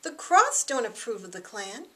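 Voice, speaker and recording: A woman's voice: a little high, sing-song, normal speed, pitched above the performer's own voice. Woman reading as an earnest, loyal young woman. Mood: earnest, indignant. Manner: earnest, defensive.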